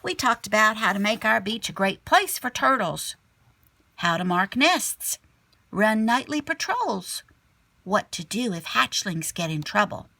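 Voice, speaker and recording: A woman teacher reading a children's picture book aloud expressively, sometimes dropping to a hushed tone. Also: medium pitch, measured pace, creaky, no echo. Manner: animated storyteller, drops to hushed whispery tones.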